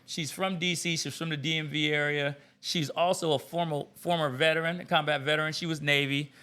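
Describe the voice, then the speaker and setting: A man with a bright, forceful voice pitched a little above the usual, co-hosting a casual podcast. He tells stories in an animated way and jokes with his co-hosts. Each line comes out with punch. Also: a little fast, clean tone. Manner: animated storyteller, joking and laughing. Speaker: podcast co-host. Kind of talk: casual chat.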